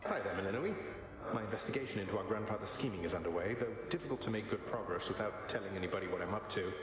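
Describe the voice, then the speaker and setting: A man's voice: lowish voice, racing, natural voice. A man playing a good-natured young underworld prince in a video game, with a wry, dry, casual manner. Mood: wry, thoughtful. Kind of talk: conversational video game acting. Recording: heavily echoing hall with music.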